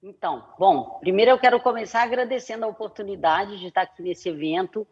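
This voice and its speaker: A woman's voice: mid-range voice, fast, breathy edge, highs cut off. A middle-aged woman from Belo Horizonte with a Mineiro Brazilian accent, telling her story at length as a guest on an online panel, with many "né" fillers. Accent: Mineiro Brazilian Portuguese. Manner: long flowing telling with frequent "né" and "é" fillers. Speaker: guest speaker, company CEO.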